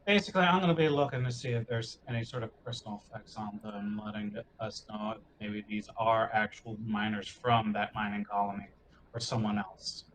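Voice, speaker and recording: A man's voice: lowish voice, steady tone, a little fast, fairly bright tone, slight background noise, rough recording. A man playing a tabletop roleplaying game, casually talking through what his character does.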